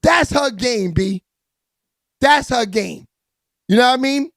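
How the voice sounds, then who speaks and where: A raspy-voiced man hosting a live entertainment news stream, giving hyped, slangy hip-hop gossip commentary with lots of shout-outs to viewers. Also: extremely high pitch, expressive, slow, heavy background noise.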